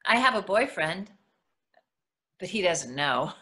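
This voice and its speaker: A woman's voice: fairly low pitch, very animated, slow pace, sharp tone, heavily acted. A woman acting a shy, candid twelve-year-old girl in a play reading, earnest and hesitant in her talk.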